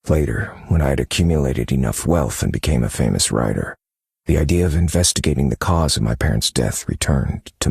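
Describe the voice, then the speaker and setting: A man playing a brooding, haunted, distrustful novelist in a video game, with a gravelly, husky voice, delivering a dramatic monologue. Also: very deep voice, no echo, clean studio sound, exaggerated voice. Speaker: game character voice actor.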